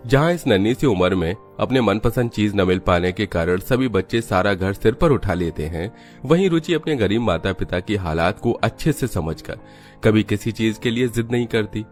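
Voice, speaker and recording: A man's voice: fairly low pitch, expressive, moderate pace. A man reading scripted narration for a children's moral story. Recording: music behind the voice.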